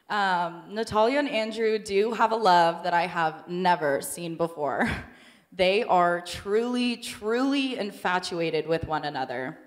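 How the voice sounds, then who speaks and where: A woman's voice: lowish voice, slow, in a large hall. A young woman giving a wedding toast as the bride's younger sister, affectionate and laughing between lines.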